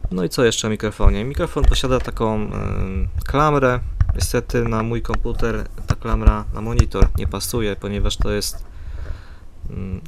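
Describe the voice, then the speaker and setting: Man, low creaky voice, casual amateur review, hesitant delivery, USB desk mic. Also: a little hiss or hum. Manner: hesitant, improvised, plain-spoken. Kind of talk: casual spoken product review. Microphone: USB condenser desktop microphone.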